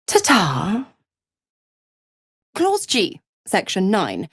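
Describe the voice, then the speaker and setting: A woman's voice: mid-range voice, wildly swooping pitch, very slow, husky, no echo, clean studio sound, heavily acted. An actress voicing a seductive, cruel and smug female devil in a video game, with a teasing, condescending, theatrical drawl. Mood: gloating, crisp. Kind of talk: video game character voice acting.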